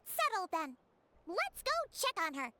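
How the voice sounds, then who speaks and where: A woman's voice: very animated, moderate pace, very noisy. A woman voicing a tiny, chirpy and blunt fairy companion in a video game, speaking of herself in the third person. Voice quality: high, bright, squeaky. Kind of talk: game voice acting.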